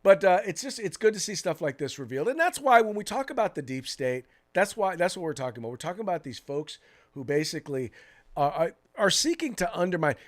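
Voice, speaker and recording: A man's voice: high-pitched, big pitch swings, fast. An American man co-hosting a political talk show, giving opinionated commentary in an emphatic way, repeating phrases for stress.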